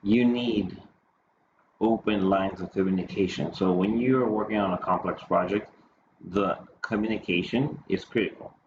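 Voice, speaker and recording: A man giving a conference presentation, explaining points in a halting way. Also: fairly low pitch. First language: Spanish or English, bilingual. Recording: dull lo-fi audio, clear background noise.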